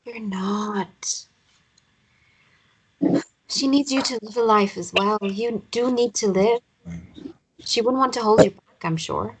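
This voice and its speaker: A woman's voice: mid-range voice, slow pace, long pauses, lightly acted. A woman playing a caring, wry mother of young boys in a read-through of a romance drama. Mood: tender, reassuring. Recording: clear background noise, occasional clicks.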